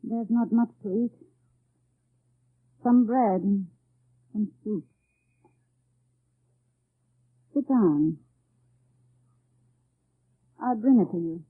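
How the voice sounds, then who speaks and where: A woman's voice: medium pitch, takes it slowly, long pauses, husky, dry recording. Evasive young austrian woman, careful, dry tinny old radio drama sound. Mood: reserved.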